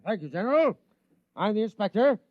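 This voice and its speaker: A man's voice: high voice, big pitch swings, fairly quick, dry recording. A man playing a pompous, irritable military inspector in a 1950s-style radio drama, barking and blustering. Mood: exasperated, indignant. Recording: dull, band-limited old radio recording.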